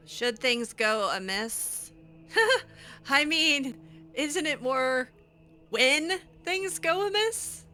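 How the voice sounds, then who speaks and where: A woman's voice: high-pitched, animated, very slow, pauses often, pushed and bright, slight background noise. A woman improvising in character for a game roleplay, sardonic and hesitant, given to sighing. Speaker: gamer voicing her own character in a roleplay playthrough.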